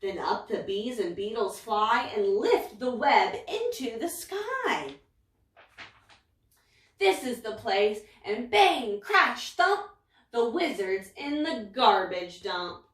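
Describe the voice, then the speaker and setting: A woman reads a children's rhyming story aloud with dramatic emphasis. Her voice is fairly high and takes its time, recorded in a roomy space a bit back from the mic. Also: very animated, slow, distant mic. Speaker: story reader. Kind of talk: expressive read-aloud of a rhyming picture book. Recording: echoey room, away from mic.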